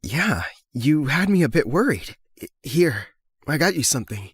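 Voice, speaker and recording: A man playing an earnest, lovesick teenage schoolboy in an expressive, emotional story dialogue. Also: a little high, normal speed, breathy edge, no echo, exaggerated voice. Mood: friendly.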